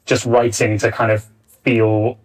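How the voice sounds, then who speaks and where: A man's voice: on the low side, level tone, unhurried. A man chairing a live panel and putting questions to the speakers, on a distant backup recording. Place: arts centre venue, picked up from afar.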